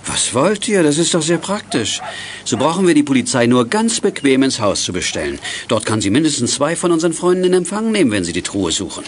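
A man playing a calm, commanding leader of young detectives in a German children's radio play. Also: fairly high pitch, fairly quick, slight background noise. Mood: satisfied. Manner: directing, matter-of-fact.

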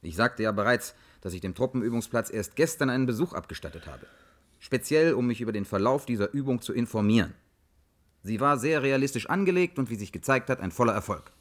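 An actor in a radio play as an unyielding army colonel, answering in a clipped, formal, controlled way. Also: male voice, medium pitch, expressive, fairly quick, close to an everyday voice. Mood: firm, official. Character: firm, unyielding male army colonel denying a cover-up. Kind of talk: radio play acting as an interviewed officer.

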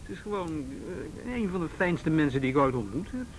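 An earnest, loyal policeman in a radio play. He has a fairly high voice and a casual, colloquial way of talking, with faint rumble behind him. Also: sing-song, a little fast, poor audio. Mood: admiring. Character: earnest, admiring policeman defending the force. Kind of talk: Dutch radio drama acting.

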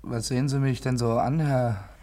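An actor playing a defiant, cynical young vagrant with bad lungs, insolent and evasive, in a 1960s radio play. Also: male voice, medium pitch, steady tone, moderate pace, dark tone, close to an everyday voice. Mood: mocking, resigned. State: sickly, coughing. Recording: noisy old radio recording.

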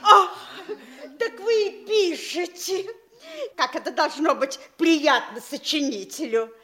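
A woman's voice: high-pitched, lively intonation, slow pace, bright, forceful tone, heavily acted. An actress playing a vain, gushing, flirtatious provincial mother in a theatrical stage comedy, with an affected manner. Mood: fawning delight. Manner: cooing, affected, fawning.

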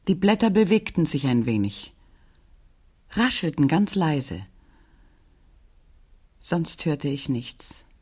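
A woman playing a sad, guarded widow in a German radio crime drama, speaking gently in a hushed, hesitant, measured way. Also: fairly low pitch, breathy edge, exaggerated voice.

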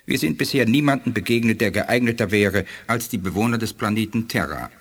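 An actor playing a dignified, shrewd alien scientist in a 1980s German sci-fi radio play, speaking in a measured, formal, didactic way. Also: male voice, fairly low pitch, breathy edge. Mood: solemn, persuasive.

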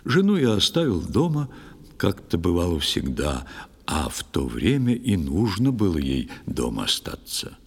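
An actor playing a sorrowful, pious old fisherman who tells his tale in expressive verse in an audio play. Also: sits low, a little slow, husky, exaggerated voice. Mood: warm recollection, troubled. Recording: long studio reverb over music.

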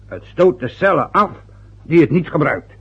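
A resentful, brooding old father played by a man in a vintage radio drama. His voice is gravelly and husky. The old recording sounds muffled. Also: a little high, expressive, normal speed, a bit bright, exaggerated voice. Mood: bitter. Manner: bitter, brooding, short of breath later. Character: aging working-class father, bitter and ill.

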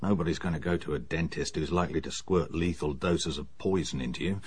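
An actor playing a bluff, chummy middle-aged English police inspector in a radio drama, matter-of-fact and worldly. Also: male voice, a bass voice, brisk, husky, no echo. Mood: bluff, assured.

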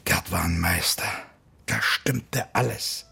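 An actor playing an older, opinionated ship-club member in a radio drama, talking colloquially in a gravelly voice. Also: male voice, low voice, bright, forceful tone, faint music behind, exaggerated voice. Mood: confiding, bitter. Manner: colloquial, halting asides. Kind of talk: naturalistic radio drama acting.

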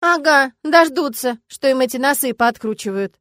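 A woman acts out a combative young witch sticking up for her own. She speaks high and emphatically, bossy and indignant, in a dry fantasy audiobook recording. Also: normal speed, fairly bright tone, no echo. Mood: emphatic. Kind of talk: dramatised audiobook.

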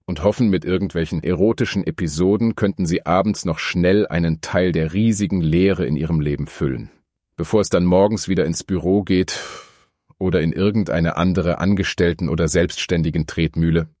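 A deep, low male voice, recorded very dry, an actor as an earnest plumber in a satirical radio play. He grumbles and rambles with firm opinions, matter-of-fact and scornful of luxury. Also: a little mellow, dry recording. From Dithmarschen, northern Germany. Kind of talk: mock-documentary radio play interview.

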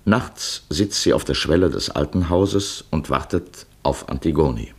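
Deep-voiced man narrating a radio drama. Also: animated, measured pace, gravelly, breathy edge.

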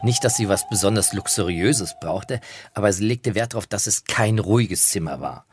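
A man's voice: on the low side, moderate pace, slight background noise. A middle-aged man presenting anecdotal commentary about singers he has known, in a conversational narrating style. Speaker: presenter recalling singers he knew.

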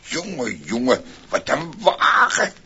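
An actor playing a scruffy, good-natured, cheeky vagrant who exclaims and gets flustered, in a 1960s radio play. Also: male voice, medium pitch, wildly swooping pitch, slow. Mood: delighted wonder. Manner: stammering when flustered, exclaiming, talking to himself. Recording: muffled, noisy 1960s vinyl single recording.

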